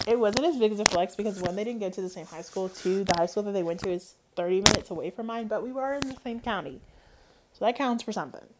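A woman's voice: mid-range voice, quick pace, very noisy. A young woman from North Georgia with an Atlanta Southern accent, chatting casually on her podcast, rambling with lots of fillers. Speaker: podcast host. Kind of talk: casual chat.

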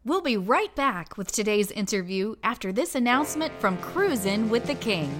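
A woman's voice: fairly high pitch, sing-song, moderate pace. A woman, a radio host, reading a scripted promotional announcement. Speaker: radio network founder and host. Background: music under the voice.

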